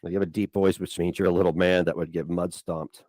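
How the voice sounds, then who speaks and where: A man's voice: deep voice, flat delivery, fairly quick, a little mellow, no room sound. A man streamer chatting casually in a boastful, crude way.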